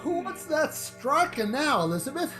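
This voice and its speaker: A woman's voice: sits high, big pitch swings, a little slow, music in the background. A woman playing a confident, skeptical family father in a radio play, brash and hearty in manner. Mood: alarmed.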